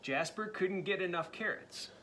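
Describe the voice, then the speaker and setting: A man reading a picture book aloud to a child, dramatic and playful, dropping into spooky whispers and jumping to loud cries. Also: high voice, normal speed, a little hiss or hum. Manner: playful asides to the listening child. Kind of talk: storybook read-aloud.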